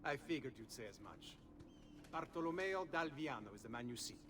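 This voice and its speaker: An actor voicing a jovial, scheming middle-aged thief boss with a playful manner. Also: male voice, medium pitch, lively intonation, fairly quick, background noise, lightly acted.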